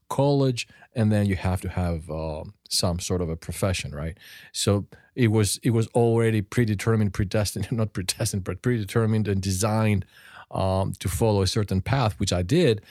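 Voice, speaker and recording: Low-voiced man. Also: darkish tone, dry recording, clean studio sound. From Greece. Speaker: podcast co-host. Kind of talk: reflective personal discussion.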